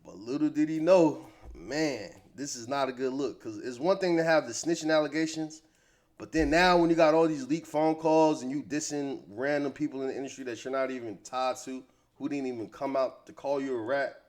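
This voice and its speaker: A man's voice: medium pitch, a little fast. An American man giving casual, opinionated hip-hop gossip commentary in slangy, conversational speech.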